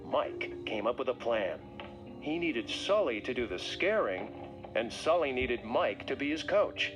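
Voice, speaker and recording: Male narrator, children's read-along, dramatic, lively pitch, clear music bed. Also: measured pace. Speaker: storybook narrator.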